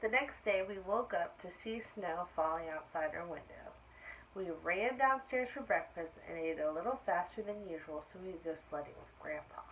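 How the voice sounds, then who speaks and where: A woman's voice: lowish voice, expressive, normal speed, a bit bright. A woman reading a picture book aloud to children, explaining things simply in asides to young listeners. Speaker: story-time reader for children. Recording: dull lo-fi sound with faint noise.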